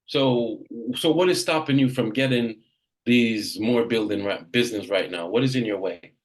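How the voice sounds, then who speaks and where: A man's voice: lowish voice, normal speed, rough recording. A man from Jamaica leading a live coaching session for real estate agents, direct and emphatic, often repeating himself.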